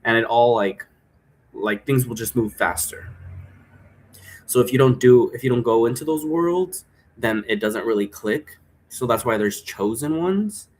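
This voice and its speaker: A man's voice: medium pitch, unhurried. A man hosting a solo vlog, talking in a chatty, informal, meandering way. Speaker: host. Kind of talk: casual rambling solo vlog. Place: indoor room at home.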